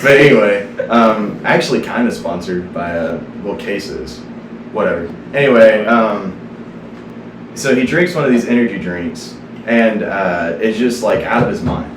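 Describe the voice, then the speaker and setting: A man's voice: on the low side, expressive, unhurried. A young American man chatting casually with friends as a podcast host, recorded in a roomy space from a distance. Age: young adult. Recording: roomy, distant mic, clear background noise.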